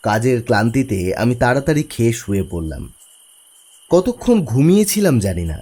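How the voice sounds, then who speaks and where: A man playing the haunted protagonist of an animated horror story, narrating it in the first person with measured, suspenseful pauses. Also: medium pitch, husky. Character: haunted male field worker narrating his ghostly encounter. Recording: music under the voice.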